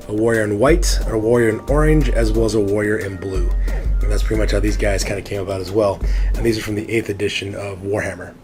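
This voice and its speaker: A man hosting a miniatures hobby show, with a raspy voice from a cold, addressing viewers in a showman style and chatting casually with many fillers. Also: on the low side, a little mellow. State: hoarse from a cold. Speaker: host of a miniatures hobby channel. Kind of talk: casual hobby showcase presenting.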